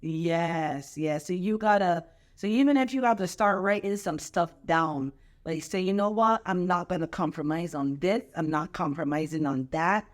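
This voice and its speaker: A woman pastor hosting a casual chat, coaching her guest with lively, repetitive emphasis and preacherly turns of phrase. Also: lowish voice, normal speed. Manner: preacherly scripture quoting. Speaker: pastor and show host.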